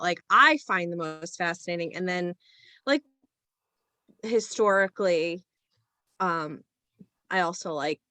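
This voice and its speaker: A woman's voice: lowish voice, bright, forceful tone, no echo. Woman giving informal feedback, dry sound. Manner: informal, hesitant phrasing with frequent "like". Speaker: script reading panelist. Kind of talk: casual feedback discussion.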